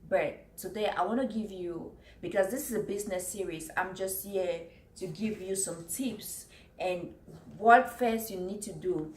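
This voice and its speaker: A woman's voice: medium pitch, unhurried. A woman from Cameroon, giving business tips to camera in an earnest way, repeating her points and working from notes. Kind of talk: casual talk to camera. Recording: roomy echo, faint background noise.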